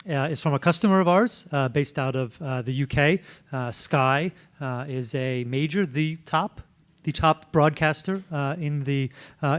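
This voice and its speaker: A man hosting a conference track, introducing the next speaker in a halting way with many fillers. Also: mid-range voice. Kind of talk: event introduction.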